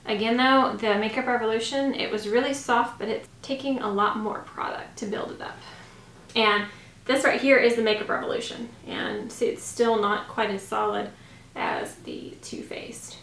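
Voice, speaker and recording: A woman's voice: fairly high pitch, steady tone, moderate pace, gravelly, a bit bright. A woman in her thirties chatting casually to camera as a beauty vlogger, informal and gushing, with a slightly scratchy voice. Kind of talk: makeup review, casual chat to camera. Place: small home room, indoors. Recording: roomy echo.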